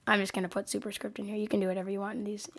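A woman's voice: mid-range voice, flat delivery, very fast, breathy edge. Young woman, sniffly with a cold, hosting a loose desk-mic coding tutorial, rambling and self-correcting. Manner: rambling, hesitant, many ums and self-corrections. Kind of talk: casual screen-recorded tutorial.

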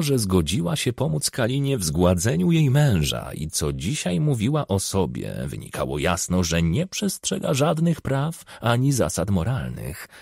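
Gravelly male audiobook narrator. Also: lowish voice, a little mellow, no echo, clean studio sound. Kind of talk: scripted narration of a crime novel.

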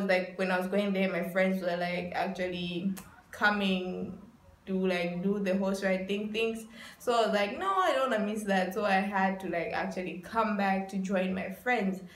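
A woman's voice: medium pitch, fairly even intonation, moderate pace. A woman in her twenties narrating a casual vlog in a chatty way, leaning on repeated words for emphasis, recorded indoors in a small room. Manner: chatty, repetitive emphasis with frequent "like". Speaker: vlogger. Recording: roomy echo.

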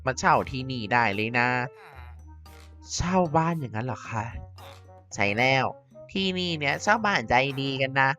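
A woman's voice: extremely low pitch, lively intonation, moderate pace, long pauses, sharp tone, full character voice. A woman doing a chummy male villager. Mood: friendly. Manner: chummy, salesy. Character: friendly male villager offering a rental house. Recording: slightly dull with faint music.

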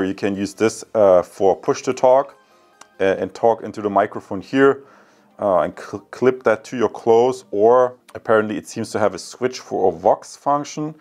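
Man in his thirties, German accent, lowish voice, casual halting reviewer talk, music in the background. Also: darkish tone. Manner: hesitant, halting, addresses viewers as guys. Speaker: product reviewer.

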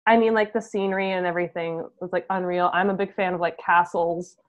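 A young woman chatting casually as a guest, in an informal, halting way. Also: on the low side, steady tone. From Canada. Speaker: band musician, interview guest. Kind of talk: casual chat. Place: home room, video call. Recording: dull lo-fi video-call audio.

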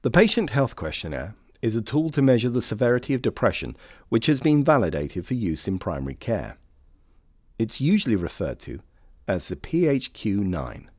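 A man reading scripted instructional narration for a training film in a measured, formal, carefully enunciated way. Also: lowish voice, big pitch swings, darkish tone, no room sound, clean studio sound.

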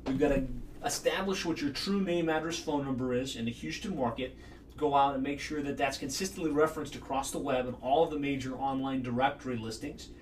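A man in his thirties giving a brisk, confident screen-share tutorial as a marketing consultant, recorded in a small office. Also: mid-range voice, recorded from a distance, slight background noise. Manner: brisk and confident, explaining step by step. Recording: echoey room, voice a bit off-mic.

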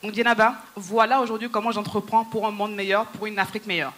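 A woman's voice: mid-range voice, fairly even intonation, quick pace, fairly bright tone. A woman in her thirties, Yoruba her first language, giving a rallying stage talk to a conference audience on a handheld microphone in a large hall. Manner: persuasive, rallying, addresses the audience directly. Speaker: entrepreneur and speaker.

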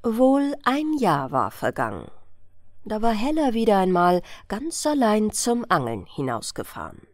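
A woman's voice: mid-range voice, a little mellow, dry recording, clean studio sound. A woman reading a scripted bedtime fairy tale as an audiobook narrator.

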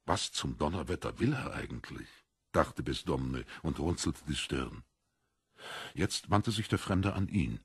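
A man narrating a literary story as a scripted audiobook, with measured, deliberate storytelling. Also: extremely low pitch, animated, vocal fry, husky, no echo, studio quality.

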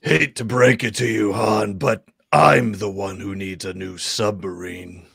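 A man playing a brash, theatrical slug crime lord in a comic table read, with gravelly, husky, drawn-out delivery. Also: fairly low pitch, steady tone, a bit bright, no room sound, studio quality, full character voice. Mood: mock-grand. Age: middle-aged.

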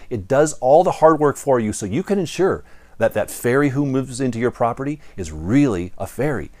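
A man's voice: mid-range voice, darkish tone. An American man in his fifties presenting a playful storytelling lesson with theatrical, emphatic delivery. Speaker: presenter of property management lessons. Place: indoor studio in front of a backdrop. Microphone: lapel mic.

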